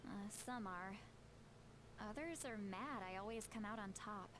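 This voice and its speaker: An eccentric, powerful elder of a tribe in a video game, played by a woman. She talks frankly and reflectively, proud yet quick to put herself down. Her mid-pitched voice sits over clear ambient background noise. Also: natural voice. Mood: wry, candid.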